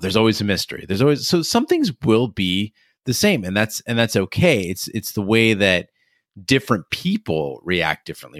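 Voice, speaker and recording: A man hosting a podcast, talking through a review in a casual, measured, explanatory way. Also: deep voice, fairly bright tone, no echo, clean studio sound. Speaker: podcast host.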